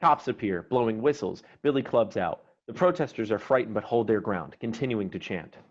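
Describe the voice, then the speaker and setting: A man's voice: lowish voice, expressive, moderate pace. A man narrating stage directions from a screenplay in a scripted read. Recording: dull, noisy online recording with clicks.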